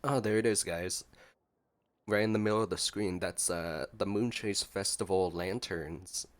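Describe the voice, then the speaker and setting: A man's voice: low-pitched, unhurried. A man giving casual, playfully joking reaction commentary as a gaming streamer.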